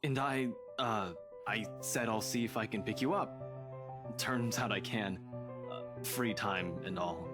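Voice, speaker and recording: A man's voice: on the low side, unhurried, loud music behind the voice, natural voice. A man playing a sarcastic young man in a visual novel game, a teasing friend who gets flustered easily. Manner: sarcastic, dry banter.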